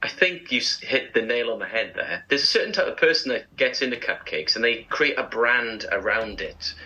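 A man's voice: medium pitch, expressive, moderate pace, sharp tone, breathy edge, muffled recording. A man co-hosting a casual radio chat, chatty and enthusiastic. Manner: chatty, enthusiastic food talk. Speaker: radio show co-host.

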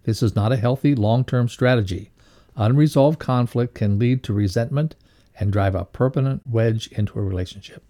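Male co-host, soft mellow tone, measured and instructive read of a self-improvement lesson. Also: mid-range voice. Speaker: co-host reading a values lesson. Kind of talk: read-aloud motivational lesson.

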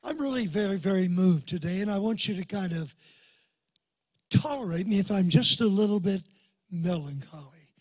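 An elderly man in his eighties preaches from a church pulpit, his old voice hoarse and husky yet pitched fairly high. He tells folksy stories and calls out for amens from the congregation. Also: animated, normal speed, no echo. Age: 80s. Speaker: guest pastor. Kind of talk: sermon with personal stories. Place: church sanctuary before a congregation.

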